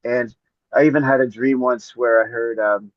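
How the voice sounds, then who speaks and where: A man from northern Maine answers interview questions in a measured, hesitant way, pausing often. His voice sits at a middle pitch. It comes through dull, dry video-call audio. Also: steady tone, dry recording. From northern Maine, United States. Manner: measured, hesitant, with many pauses. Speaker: poet guest.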